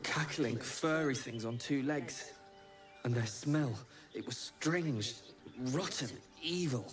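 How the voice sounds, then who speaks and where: A man playing a solemn, loyal talking animal in a fantasy game, speaking in halting, terse, earnest phrases. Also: mid-range voice, close to an everyday voice. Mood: solemn, wary. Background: music.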